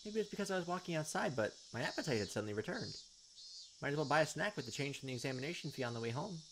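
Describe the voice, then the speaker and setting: A man's voice: a little high, expressive, racing, noisy background. A man hosting a let's play, reading visual novel narration aloud with casual commentary. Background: game music.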